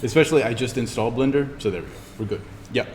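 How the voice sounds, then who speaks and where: A man giving an informal in-class software tutorial, explaining step by step as he demonstrates. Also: medium pitch, racing. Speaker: instructor. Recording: reverberant room with background noise.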